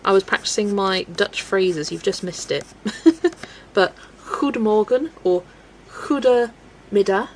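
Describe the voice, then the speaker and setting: A young woman hosting a colouring livestream, chatting casually with viewers, relaxed and laughing often as she works. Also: medium pitch, slow, a little hiss or hum, low-quality recording. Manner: relaxed and chatty, laughs often, talks to herself while working. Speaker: livestream host. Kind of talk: casual chat with a live chat while colouring.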